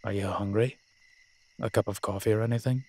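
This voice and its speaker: A man playing a suave, teasing demon professor in an audio roleplay, with a wry, conversational delivery and a husky voice. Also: fairly low pitch, steady tone, a little fast. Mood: inviting, casual. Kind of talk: intimate audio roleplay acting.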